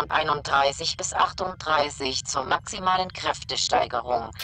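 A woman's voice: low-pitched, sharp tone. A woman playing a clinical research leader dictating a clipped experiment log in a sci-fi audio drama. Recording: noisy archive audio log.

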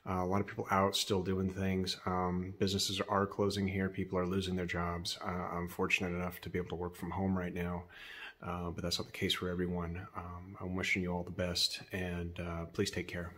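A man's voice: sits low, monotone, fairly quick, fairly bright tone. A middle-aged man with an American accent giving a casual update from his garage. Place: cluttered garage. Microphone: phone at arm's length.

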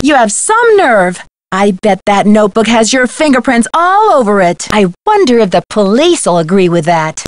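A young woman playing an earnest, bold amateur sleuth in a video game, speaking clearly and inquisitively. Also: high voice, no room sound. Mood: upset, accusing, then pressing, skeptical.

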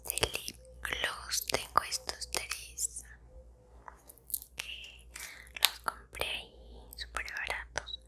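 A woman in her twenties doing casual ASMR in a hushed, breathy, unvoiced whisper, chatting informally and unhurriedly to viewers up close. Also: very deep voice. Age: 20s. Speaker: ASMR video creator. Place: small plain indoor room.

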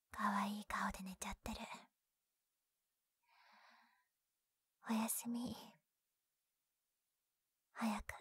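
A woman voicing a bashful, secretly caring tsundere young childhood friend in close-up ASMR roleplay, breathy and often whispering, scolding yet tender. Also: mid-range voice, flat delivery, extremely slow, fairly bright tone, no room sound, exaggerated voice. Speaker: voice actress in roleplay audio. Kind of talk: Japanese ASMR situation-voice roleplay. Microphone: close binaural microphone, dry.

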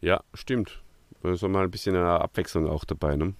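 Man, lowish voice, podcast host, casual review chat, dry room sound. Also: moderate pace, dry recording.

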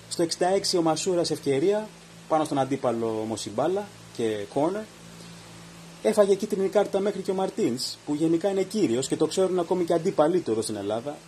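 A man's voice: on the high side, warm tone, a little hiss or hum, rough recording. A man hosting a podcast, giving an opinionated minute-by-minute review of a football match. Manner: opinionated, reads out events minute by minute. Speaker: podcast host. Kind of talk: post-match commentary monologue.

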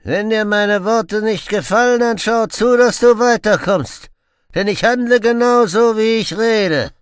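A man voicing a grim, cruel stranger in an audiobook, gruff, harsh and curt. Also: high-pitched, unhurried, dry recording, studio quality, pitched above the performer's own voice. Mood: gruff anger. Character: menacing, grim male stranger, cruel villain in horsehide.

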